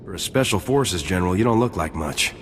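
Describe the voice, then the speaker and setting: A ruthless male commando lieutenant from a video game, curt and insolent in a lowish voice. He sounds contemptuous, with long reverb around him. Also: expressive, a little fast, fairly bright tone. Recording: long reverb with faint noise.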